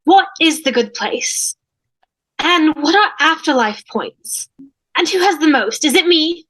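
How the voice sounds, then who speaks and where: Woman playing self-important socialite, high pitch, vain, comedy table read. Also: expressive, a little slow, a bit bright, rough recording. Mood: haughty curiosity.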